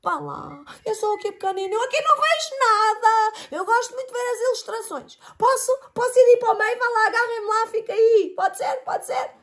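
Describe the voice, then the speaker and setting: A woman's voice: very high voice, brisk, a bit bright, voice pushed up. A woman voicing a small, eager male fish in a children's story, asking over and over to be let into the middle. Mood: pleading. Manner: pleading, eager.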